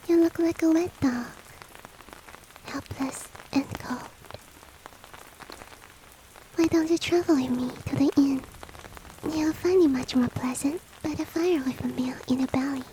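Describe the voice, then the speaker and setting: A woman voicing a courteous, coaxing monster-girl maid in a soft, breathy, whispery roleplay ASMR style with formal, old-fashioned politeness. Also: high-pitched, a little slow, long pauses, slight background noise, low-quality recording. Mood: coaxing, pitying. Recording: rain ambience behind the voice. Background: falling rain.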